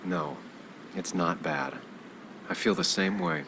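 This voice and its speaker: An actor playing an earnest, caring journalist, troubled but steady, in a video game. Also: male voice, high-pitched, takes it slowly, gravelly, slight background noise, exaggerated voice. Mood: gentle.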